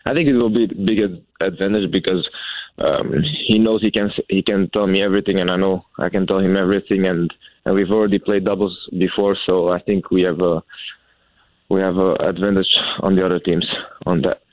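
Deep-voiced young Belgian man on a tinny line. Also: steady tone, no echo. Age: young adult. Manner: halting, many fillers and restarts. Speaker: college tennis player. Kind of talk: interview answers. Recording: tinny phone-like sound.

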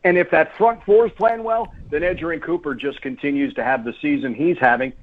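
A man's voice: a little high, brisk, narrow, tinny audio. A man who works as a play-by-play announcer, talking conversationally and emphatically as a guest on sports radio, repeating phrases for stress. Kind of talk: sports talk radio interview.

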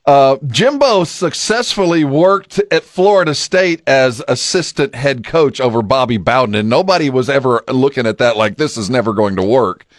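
A man hosting a conversational sports talk radio show, speaking in a deliberate, reflective way. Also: mid-range voice, lively intonation, no echo, clean studio sound.

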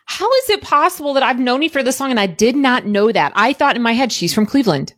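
A woman's voice: on the high side, sing-song, quick pace, a bit bright. A woman from Ohio hosting a podcast, chatting casually and enthusiastically with her guest. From Ohio, USA. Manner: chatty, enthusiastic, laughs easily. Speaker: podcast host. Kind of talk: casual interview chat.

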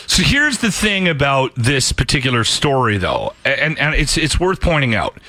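A man's voice: mid-range voice, very animated, normal speed, bright, forceful tone, dry recording. A man hosting a radio show, chatting casually and telling stories in an emphatic, opinionated way. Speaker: radio host. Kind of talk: casual morning radio banter. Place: radio studio. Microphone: close studio microphone.

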